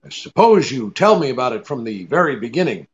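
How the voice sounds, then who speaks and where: An actor playing a hard-boiled, wry private detective with laconic, slangy delivery in a 1940s-style radio drama. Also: male voice, mid-range voice, sing-song, a little fast, slightly rough, highs cut off. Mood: calm, probing.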